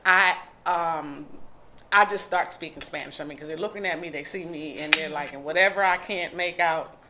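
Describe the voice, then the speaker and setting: A woman's voice: sits low, moderate pace, heavy background noise. American woman chatting in a group, tells stories, trails off and restarts. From United States. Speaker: panelist. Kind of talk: casual group chat.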